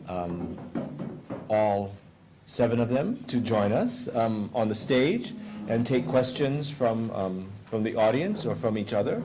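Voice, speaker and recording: A man with a very deep voice introduces speakers and asks questions at a conference, halting often on ums and uhs. Clear background noise fills the hall around him.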